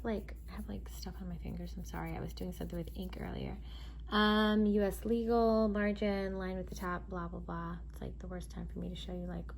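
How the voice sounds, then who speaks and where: A woman vlogger with a raspy voice, chatting casually and thinking aloud while she demonstrates a gadget. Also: mid-range voice, slight background noise. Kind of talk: casual product demo.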